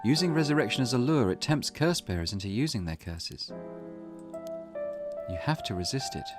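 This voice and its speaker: A man voicing a cautious, protective teenage schoolgirl who explains things in a measured, earnest way in a visual novel. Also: medium pitch, very animated, close to an everyday voice. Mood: firm concern. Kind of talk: character voice reading a visual novel. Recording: music in the background.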